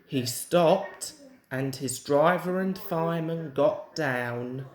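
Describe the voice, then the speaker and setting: A woman's voice: sits low, slow, a little mellow, reverberant. A woman narrating a children's storybook aloud as a reader.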